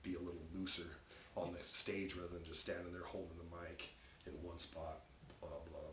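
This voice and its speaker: Brief: raspy comic, lowish voice, bright edge, casual laughing talk, roomy echo. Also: male voice, flat delivery, moderate pace. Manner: loose, laughing storyteller. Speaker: stand-up comedian, guest. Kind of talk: casual chat. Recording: echoey room, faint noise.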